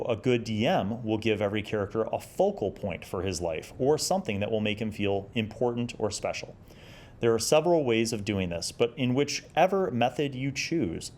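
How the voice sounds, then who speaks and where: A man in his forties giving casual, reflective commentary as a host, moving between reading aloud and conversational talk. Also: fairly low pitch. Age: 40s. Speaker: host of a retro gaming review series.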